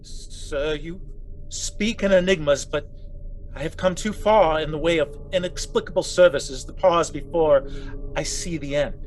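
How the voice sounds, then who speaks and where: A man playing a proper, easily alarmed gentleman doctor in a gothic radio play, formal and fussy. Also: fairly high pitch, unhurried, slight background noise, close to an everyday voice, low-quality recording. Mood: wary, indignant. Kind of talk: gothic radio drama acting.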